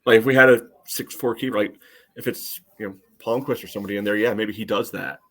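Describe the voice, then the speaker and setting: A man's voice: fairly low pitch, steady tone, fast, a bit bright. An American man co-hosting a soccer podcast, analyzing play casually and thinking aloud with a lot of "you know".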